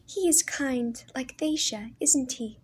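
A woman's voice: slow, slight background noise. A woman voicing Leah, a timid young girl. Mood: shy. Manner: high breathless pleading.

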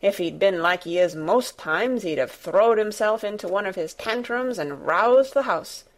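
An excitable young maid from a storybook, acted by a woman narrator. She is plain-spoken and superstitious, given to breathless exclamations in broad dialect. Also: mid-range voice, a little slow, lightly acted. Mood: amazed.

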